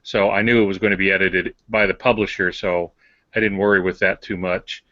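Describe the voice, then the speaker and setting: Older male co-host, lowish voice, hesitant casual talk with dry wit, close dry but slightly dull sound. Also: flat delivery, a little fast, sharp tone, dry recording. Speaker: podcast co-host and published author. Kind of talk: casual conversation.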